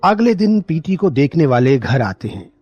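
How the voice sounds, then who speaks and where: Casting: male narrator for scripted moral tales, raspy medium-pitched voice, dry studio sound. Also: sing-song, normal speed, darkish tone, dry recording, muffled recording.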